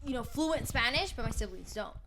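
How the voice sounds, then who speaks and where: A woman's voice: fairly high pitch, expressive, fairly bright tone. A young woman telling a personal story in casual podcast chat, full of "like"s. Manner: fast, filler-heavy storytelling with many "like"s. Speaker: podcast guest.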